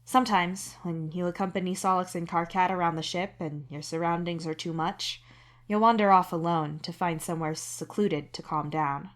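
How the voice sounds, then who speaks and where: A woman reading scripted fiction as narrator, in an introspective, expressive way. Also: on the low side, moderate pace. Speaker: narrator and author reading own fan fiction.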